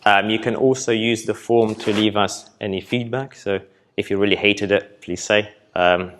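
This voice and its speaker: A young man from Poland presenting at a festival hall and taking audience questions, relaxed and hesitant with many ums. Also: fairly low pitch, normal speed, a little hiss or hum. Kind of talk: conference talk with audience Q&A.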